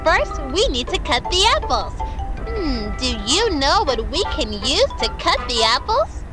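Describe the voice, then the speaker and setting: A perky little girl hosting a children's cartoon, very high-pitched and slow. Her sing-song delivery is sweetly bossy and instructive, over prominent music. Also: very animated. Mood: cheerful, instructive. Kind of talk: children's educational cartoon voice acting.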